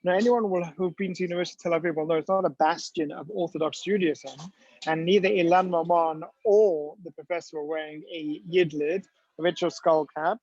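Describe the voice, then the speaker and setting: A man's voice: on the high side, steady tone, fairly quick, darkish tone, dry recording. A man giving a storytelling lecture with slides, talking conversationally with many ums and restarts, in a dull, dry lo-fi recording.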